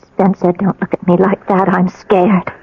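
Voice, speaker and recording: A woman's voice: on the low side, measured pace, slightly rough. An American woman playing a wronged, anxious wife in a 1980s radio drama, with an emotional, brittle, theatrical delivery. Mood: alarmed. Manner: theatrical, expressive. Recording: dull lo-fi old radio recording.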